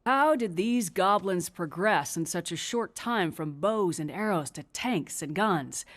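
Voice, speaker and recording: Low-voiced, formal authoritative leader. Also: female voice, expressive. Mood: grave, urgent. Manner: formal, measured. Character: composed, authoritative female leader addressing an assembly. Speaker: leader chairing a war council. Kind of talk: video game cutscene acting, formal address.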